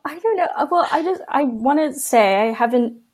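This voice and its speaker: A woman's voice: sits high, animated, normal speed, a little mellow. A woman guest in a casual podcast chat, answering in a giggly, hesitant way.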